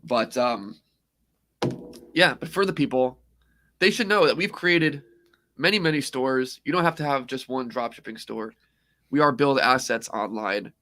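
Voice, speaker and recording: Man co-hosting a livestream, hoarse voice, bright and forceful, rambling, upbeat and jokey. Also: medium pitch, unhurried, pauses often, a little hiss or hum. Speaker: co-host. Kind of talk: casual livestream chat.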